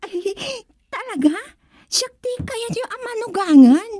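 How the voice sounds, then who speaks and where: A woman's voice: very high-pitched, sing-song, measured pace, warm tone, heavily acted. A woman playing a coy, eager young daughter in an Ilocano radio comedy-drama, with an Ilocano accent. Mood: coy delight.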